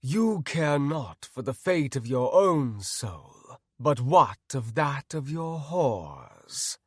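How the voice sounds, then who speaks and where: A man's voice: medium pitch, sing-song, takes it slowly, slightly rough, dry recording, studio quality, pitched above the performer's own voice, exaggerated voice. A man voicing a cruel, pious paladin villain from a fantasy game story, speaking in stoic, menacing pronouncements. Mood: cold menace.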